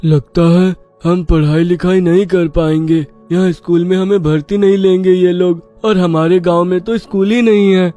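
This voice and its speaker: A man's voice: high voice, fairly even intonation, moderate pace, few pauses, warm tone, soft background music, natural voice. A man voicing an earnest, respectful poor village schoolboy in a children's story. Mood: downcast. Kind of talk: children's moral story voice acting.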